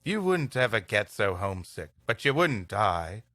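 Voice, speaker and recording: A man's voice: on the low side, big pitch swings, normal speed, fairly bright tone, no echo, studio quality, pitched below the performer's own voice. A man voicing earnest young schoolboys from an old English storybook tale.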